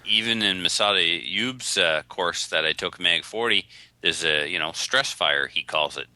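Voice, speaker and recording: A man's voice: low voice, normal speed, pushed and bright, no room sound. A man chatting casually as co-host of a podcast. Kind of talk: casual chat.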